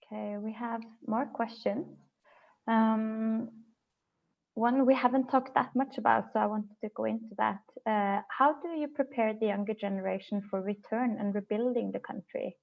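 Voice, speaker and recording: Creaky-voiced woman on narrow phone-like audio. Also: fairly high pitch, steady tone, moderate pace. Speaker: webinar moderator. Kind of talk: reading out audience questions, hosting.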